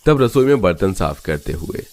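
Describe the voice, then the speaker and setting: A man's voice: sits low, sing-song, normal speed, darkish tone. A man narrating a scripted cartoon story.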